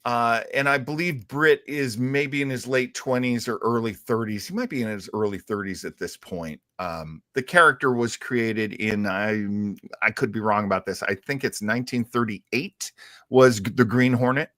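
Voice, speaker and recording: A man hosting a show, explaining in casual talk with a halting, thinking-aloud delivery. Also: mid-range voice, fairly bright tone, no echo, clean studio sound. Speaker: show host. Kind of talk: casual explanatory talk about old radio heroes.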